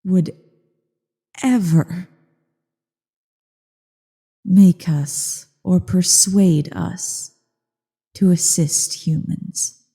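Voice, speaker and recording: A woman voicing an ancient evil female dragon in a slow ASMR roleplay monologue, haughty, bored and menacing, with a low, husky, creaky delivery. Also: steady tone, mellow, exaggerated voice. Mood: haughty, disdainful. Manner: haughty, disdainful, deliberate. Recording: long cavernous reverb.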